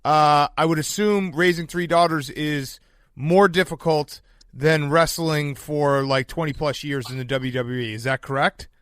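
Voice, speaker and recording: Guy podcast interviewer, kinda high voice, casual chat, dry close mic. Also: fairly even intonation, a little slow, fairly bright tone, clean tone, no echo.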